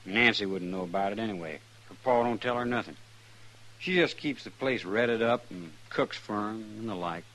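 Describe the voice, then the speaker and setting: A man's voice: lowish voice, moderate pace, a bit bright, close to an everyday voice, low-quality recording. An American man playing a stubborn, aggrieved ranch hand in a radio western, with defensive, folksy speech. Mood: defensive, indignant.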